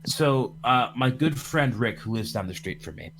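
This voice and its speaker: A man's voice: medium pitch, expressive, moderate pace, fairly bright tone, poor audio. A man hosting a livestream podcast over computer voice chat, chatting casually in a rambling, jokey way. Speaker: podcast host.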